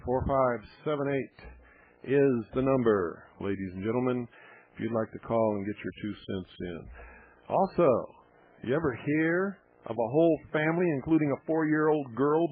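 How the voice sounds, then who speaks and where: A man's voice: medium pitch, breathy edge. A man hosting a call-in radio talk show, speaking bluntly and growing heated. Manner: blunt, heated when riled. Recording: tinny shortwave radio broadcast.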